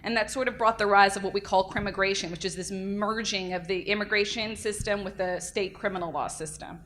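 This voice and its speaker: An energetic young woman lectures at a legal workshop, speaking loudly and briskly into a microphone. Her delivery is lively and direct, with a mid-range voice in a conference room. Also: medium pitch, slight background noise. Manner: energetic, lively, self-described loud. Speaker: defense attorney giving a workshop talk. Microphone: handheld or podium mic.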